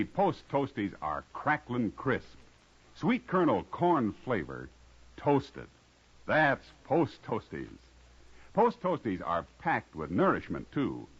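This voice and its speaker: An American man announcing a 1950s radio show and pitching its sponsor, emphatic and punchy, with some huskiness. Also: slightly rough. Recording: dull lo-fi old radio, faint music.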